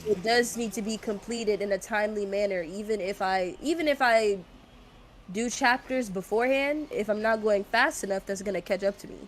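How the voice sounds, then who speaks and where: A woman's voice: medium pitch, moderate pace. A woman streaming a game and chatting casually, rambling and hesitant, laughing often and sounding a bit congested. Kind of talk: casual gaming stream chat.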